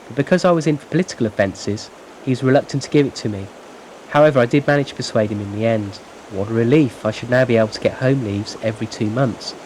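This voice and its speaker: A man reads personal letters aloud in a brisk, conversational manner, moving along quickly. His pitch is ordinary for a man, with faint background noise under the voice. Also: a little mellow, low-quality recording.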